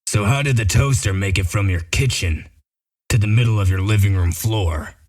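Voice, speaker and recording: A man playing a gruff, shrewd wolf detective in a comic dub, explaining things in a methodical, sardonic way. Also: fairly low pitch, normal speed, a little mellow, close to an everyday voice.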